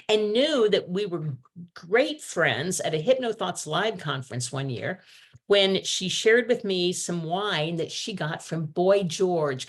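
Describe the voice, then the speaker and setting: Enthusiastic woman hosting a call. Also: lowish voice. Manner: enthusiastic, chatty. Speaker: host. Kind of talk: introducing a guest. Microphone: video call.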